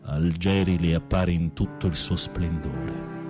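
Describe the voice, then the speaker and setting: A campy, low-voiced theatrical inmate. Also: male voice, steady tone, measured pace, over music. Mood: florid, savoring. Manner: florid, campy, teasing storytelling. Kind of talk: radio play acting.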